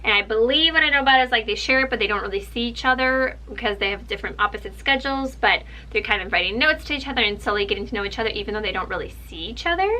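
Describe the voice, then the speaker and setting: Young woman, russian first language, bright kinda high voice, chatty and excited about her new books. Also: very fast, few pauses, rough recording. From Ukraine. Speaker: book vlogger. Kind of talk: casual book haul chat.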